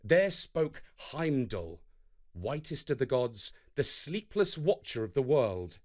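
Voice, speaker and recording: A man's voice: mid-range voice, very animated, slow. A man narrating a scripted children's story as a storyteller.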